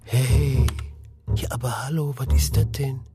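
A man's voice: mid-range voice, steady tone, a little slow, over loud music, natural voice. An actor playing a grumbling man who mutters to himself in slang, alone, in a radio crime drama. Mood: intrigued. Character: grumbling man alone, hunting through online listings.

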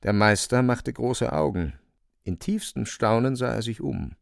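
A man narrating a radio drama from a script, in an expressive storytelling style. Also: mid-range voice, measured pace, vocal fry, no echo, clean studio sound.

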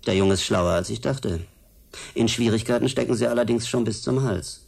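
A gravelly, menacing state security agent. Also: male voice, medium pitch, normal speed, a little mellow. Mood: insinuating, pressing. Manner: mock-polite, condescending, needling. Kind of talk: German radio drama acting.

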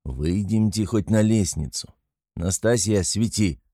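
A man's voice: on the low side, measured pace, mellow, no echo, clean studio sound. A man voicing a tipsy, hot-headed and talkative young man in an audiobook, a loyal friend with a blustering, emphatic manner. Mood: urgent, hushed.

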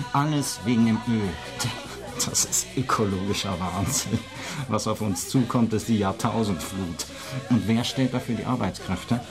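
A man's voice: mid-range voice, lightly acted. A male actor in a German radio play, playing a foul-mouthed, ranting drinker who spouts conspiracies in a coarse, emphatic way. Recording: music behind the voice.

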